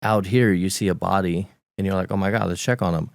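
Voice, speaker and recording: A Romanian-born man in his forties with a Romanian accent, English his second language, telling his story as a guest on a talk show, halting and repeating words as he recalls. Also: deep voice, fairly even intonation, vocal fry, no room sound, clean studio sound. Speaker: podcast guest. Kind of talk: candid conversational storytelling.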